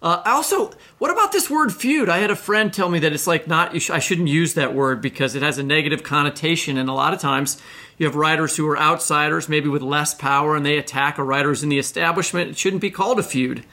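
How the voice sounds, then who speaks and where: A man co-hosting a podcast in a casual, animated, informal storytelling way. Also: a little high, fast talker. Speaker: podcast co-host. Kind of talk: casual literary podcast conversation.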